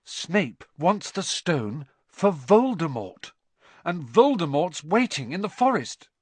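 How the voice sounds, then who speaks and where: A man's voice: a little high, very animated, takes it slowly, husky, no echo, pitched above the performer's own voice, heavily acted. A man voicing an earnest, agitated young boy in an audiobook, with an urgent, feverish manner. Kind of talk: audiobook character dialogue.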